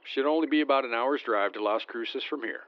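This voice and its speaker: A man playing a brisk, cocky American military scout in an audio drama. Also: medium pitch, lo-fi sound, a little hiss or hum, lightly acted. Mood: cocky, breezy.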